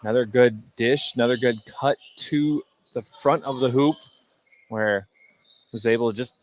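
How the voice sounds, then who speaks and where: A man with a medium-pitched voice gives color commentary on basketball. He explains plays conversationally and off the cuff, feeling his way haltingly through each point. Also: a little hiss or hum. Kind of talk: unscripted radio sports analysis.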